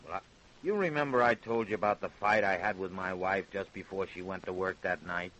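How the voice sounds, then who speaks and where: A man's voice: low-pitched, a little fast, a bit bright, slight background noise, rough recording. An actor with an American accent playing a possessive, evasive working-class father in an old-time radio crime drama. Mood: confessing.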